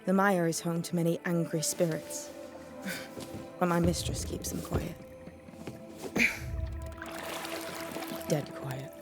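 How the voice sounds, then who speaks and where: A woman playing a blunt, sly young witch's novice in a video game, wry and teasing in a deadpan way. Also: fairly low pitch, takes it slowly, long pauses, loud music behind the voice. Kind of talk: video game cutscene acting.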